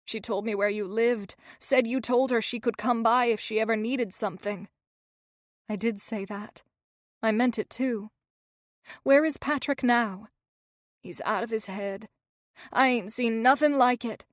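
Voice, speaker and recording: A woman voicing a frightened teenage girl, a battered runaway who talks in rough, ungrammatical speech. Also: a little high, unhurried, dry recording, studio quality, lightly acted.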